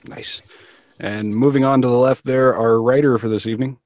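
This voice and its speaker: Male, podcast host, mid-range voice, chatty, halting, casual panel interview. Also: mid-range voice, steady tone, darkish tone, no room sound.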